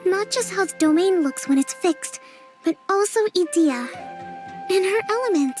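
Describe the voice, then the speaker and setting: A woman's voice: very high-pitched, animated, normal speed, music in the background. A woman playing a sweet, earnest, curious young girl adventurer in a video game cutscene, speaking thoughtfully.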